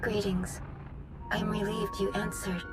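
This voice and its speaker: Courteous, grave woman for a game, played by an actress: mid-pitched, formal and measured, clear music behind. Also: little pitch movement, natural voice. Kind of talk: dramatic video game voice acting. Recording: clear background music under voice.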